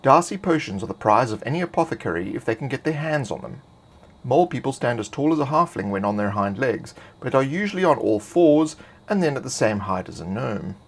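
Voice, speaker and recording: A man reading scripted fantasy game lore in a measured, expository way. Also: mid-range voice, very animated, husky. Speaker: narrator.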